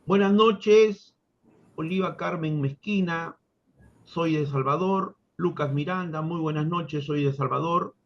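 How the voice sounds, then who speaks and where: Slow, ceremonious man hosting, higher-pitched voice. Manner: ceremonious, deliberate phrasing. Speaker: host of an online film forum. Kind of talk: formal event introduction. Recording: dull, lo-fi audio.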